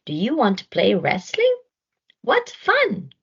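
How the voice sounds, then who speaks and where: A woman's voice: fairly high pitch, huge pitch swings, slow, vocal fry, a bit bright, breathy edge, dull audio, pitched above the performer's own voice, heavily acted. A woman voicing cheerful young animals in a storybook, eager and bouncy as they invite someone to play. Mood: friendly, eager.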